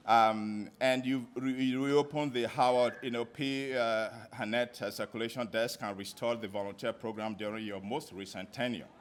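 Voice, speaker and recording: A man, a former library board president, giving a thank-you speech at a live event, warm and halting with many fillers. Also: medium pitch, slight background noise. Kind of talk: ceremony speech.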